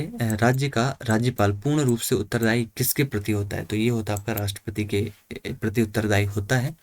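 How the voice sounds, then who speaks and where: A man's voice: on the low side, darkish tone, dry recording. A man working through exam questions and answers informally, as a coaching teacher explaining to students.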